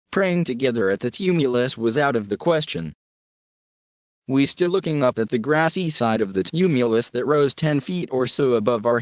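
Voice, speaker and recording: A man narrating a scripted horror story in a measured, even storyteller manner. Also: medium pitch, dry recording.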